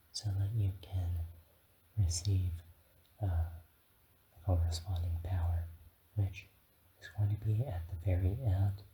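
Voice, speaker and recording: Man as encouraging dragon guide, low-pitched halting whisper, soothing ASMR roleplay, noticeable background hiss. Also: warm tone, low-quality recording. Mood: calm, reassuring.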